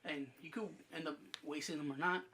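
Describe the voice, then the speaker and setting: A young man hosting a casual podcast chat, with a high-pitched voice, mumbling a little and often laughing as he tells stories. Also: a little fast, a little hiss or hum. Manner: mumbling, laughing, animated storyteller. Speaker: podcast host.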